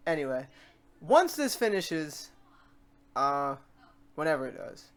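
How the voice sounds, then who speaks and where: High-voiced man, chatty rambling tutorial host. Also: very animated, slow. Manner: informal, chatty and rambling, stumbles and repeats words. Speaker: host of a software tutorial series. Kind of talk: casual screen-recorded software tutorial, talking off the cuff. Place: voice-over to a screen recording.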